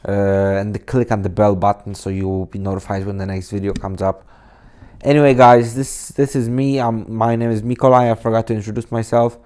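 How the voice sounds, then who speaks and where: A young man from Poland, speaking English as a second language, talks through a coding tutorial in a casual, rambling way. His voice is lowish, warm and smooth, with frequent restarts and fillers, captured on an inexpensive desk mic. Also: little pitch movement, brisk. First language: Polish. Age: 20s. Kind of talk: casual screen-recorded tutorial talk. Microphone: cheap desk microphone on a laptop.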